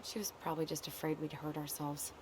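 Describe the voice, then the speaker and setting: A woman's voice: low-pitched, level tone, quick pace, lightly acted. A young woman with an American accent playing a guarded, practical character with dry wit in a video game cutscene. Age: young adult. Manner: dry, understated. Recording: noisy game stream capture with ambience.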